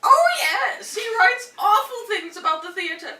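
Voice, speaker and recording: A woman playing a kindly, fussy, doting old aunt in a stage comedy read-through, gentle, proper and fretful. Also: very high voice, lively intonation, unhurried, heavily acted. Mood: chatty, reassuring. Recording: roomy echo, distant mic.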